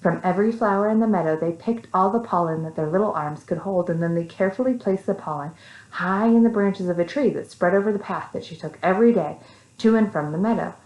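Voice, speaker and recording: A woman's voice: mid-range voice, fast. American woman reading stories in echoey room. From Texas, United States. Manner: animated, simple, child-directed. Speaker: children's story reader. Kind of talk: storytelling to young children, with casual asides.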